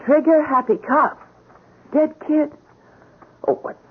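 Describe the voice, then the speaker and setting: An actress playing a police sergeant's loyal, outspoken wife in a radio drama, emotional, blunt and tender. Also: female voice, high-pitched, takes it slowly. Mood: alarmed, protective. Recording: muffled narrow-band radio drama recording.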